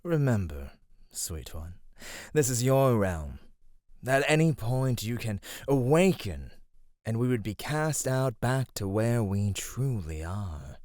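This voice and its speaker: A man's voice: mid-range voice, expressive, slow pace, a little mellow, no room sound, clean studio sound. A man voicing a sly, flirty immortal god of beauty in an ASMR roleplay, teasing and coaxing without hurry. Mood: gentle, amused.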